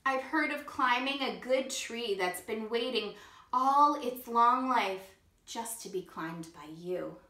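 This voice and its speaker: A woman reads a picture book aloud to young children in a slow, unhurried storytime voice, stopping now and then to ask them questions. Her voice sits a little high and sounds clear and bright. The sound rings in an echoey room. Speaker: kindergarten teacher.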